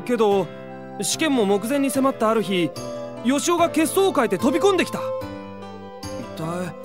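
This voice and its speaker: A man playing an easygoing, teasing seventeen-year-old high school hero in an anime-style audio drama. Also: big pitch swings, moderate pace, music in the background. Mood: earnest narrating.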